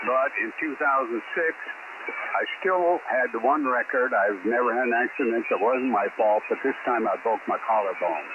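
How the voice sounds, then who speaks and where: Elderly American man over crackly ham radio. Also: mid-range voice. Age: 80s. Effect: radio transmission. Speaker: amateur radio operator. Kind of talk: casual radio chat, reminiscing. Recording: narrow tinny ham radio signal, loud static. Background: loud radio static.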